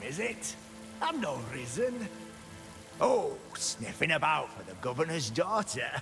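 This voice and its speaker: An actor playing a sly, taunting rebel prisoner who schemes and wheedles in a video game. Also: male voice, mid-range voice, vocal fry, full character voice. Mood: pleading. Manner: mocking, insinuating, wheedling. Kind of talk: video game character acting.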